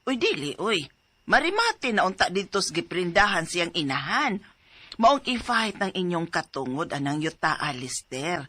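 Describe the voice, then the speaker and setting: A woman playing an assertive aunt who gives forceful advice in an audio drama. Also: lowish voice, big pitch swings, normal speed, dry recording, heavily acted. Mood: urging. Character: assertive aunt urging her nephew to fight.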